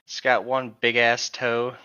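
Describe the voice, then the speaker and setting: A man's voice: medium pitch, completely flat delivery, a little slow, sharp tone, smooth, no room sound. A man chatting casually as a podcast co-host, slangy and crude in his joking, on a lo-fi microphone. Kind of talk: casual chat.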